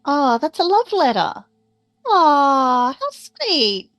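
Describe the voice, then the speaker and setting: A woman's voice: sits high, big pitch swings, very slow, fairly bright tone, no room sound. A woman chatting casually as a player in a tabletop RPG. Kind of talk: casual game-table chat.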